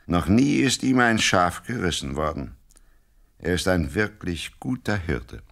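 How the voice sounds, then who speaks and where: Radio-play father, gruff yet fond, forceful and creaky, theatrical delivery. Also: sits low, big pitch swings, unhurried, exaggerated voice. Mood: fond, proud. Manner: scolding yet affectionate, theatrical. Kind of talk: audio play acting.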